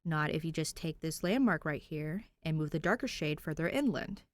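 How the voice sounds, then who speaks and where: A woman plays a sunny young man in an audiobook-style story. He is an easygoing, good-natured big brother, in a lowish voice with a dry, close sound. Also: expressive, normal speed, no room sound. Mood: thoughtful. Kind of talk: acted dialogue in fan fiction reading.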